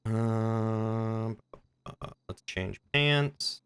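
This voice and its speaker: A man's voice: lowish voice, extremely slow, a bit bright, clear, resonant tone. A man streaming a video game, chatting casually and musing aloud to himself as he plays. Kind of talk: casual livestream chat while gaming.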